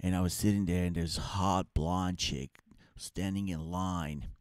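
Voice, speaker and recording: A man's voice: sits low, fairly even intonation, a little slow, no room sound, studio quality. A man with an Armenian accent co-hosting a comedy podcast, chatting casually in a rambling, mock-boastful way. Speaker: comic and podcast co-host.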